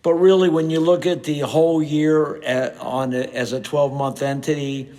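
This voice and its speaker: A middle-aged man hosting his own podcast in a casual, conversational way, sounding a little hoarse and nasal from a cold. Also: fairly high pitch, a little mellow. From United States. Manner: conversational, with frequent fillers. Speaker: podcast host, real estate agent. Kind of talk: casual solo podcast talk.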